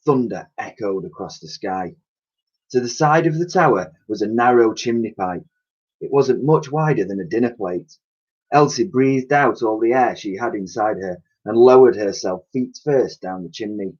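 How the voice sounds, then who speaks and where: A man reading a children's storybook aloud as a teacher would, with expressive narration, on a slightly dull lo-fi recording. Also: lowish voice, moderate pace.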